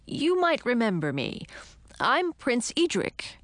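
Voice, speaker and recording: A woman's voice: medium pitch, very animated, normal speed, dry recording, close to an everyday voice. A woman voicing a cautious young male frog, an enchanted prince in a storybook, earnest and careful. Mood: wary.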